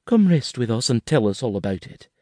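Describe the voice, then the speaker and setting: A man voicing a loving young pregnant Highland wife, speaking softly and soothingly in a raised voice. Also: sing-song, a little fast, no room sound, clean studio sound, natural voice. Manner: soft, soothing.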